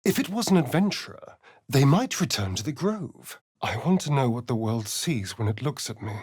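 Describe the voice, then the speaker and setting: A man's voice: mid-range voice, sing-song, moderate pace, darkish tone, husky. An actor playing a sardonic, flirtatious male vampire spawn elf in a video game, with a theatrical, teasing drawl. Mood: sly.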